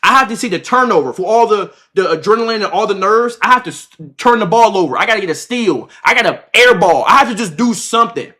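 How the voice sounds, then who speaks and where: A man's voice: high-pitched, fast, few pauses. A man in his twenties livestreaming, telling a story casually in slangy, swear-heavy speech, repeating himself and acting out what was said. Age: 20s. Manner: animated, repetitive retelling with acted-out quotes. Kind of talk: casual reaction-stream storytelling to chat.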